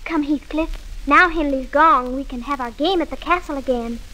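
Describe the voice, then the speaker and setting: A woman's voice: high-pitched, moderate pace, sharp tone, rough recording. A female performer playing an imaginative, coaxing, devoted little girl in a 1940s radio drama. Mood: coaxing, earnest. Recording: faint noise on old radio recording.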